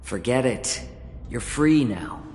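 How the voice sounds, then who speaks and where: An actor playing a composed fox-folk envoy in a video game, polite and wry with a quietly stern, measured delivery. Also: male voice, medium pitch, lively intonation, a little hiss or hum. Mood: teasing, cautioning. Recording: echoey hall reverb, music behind.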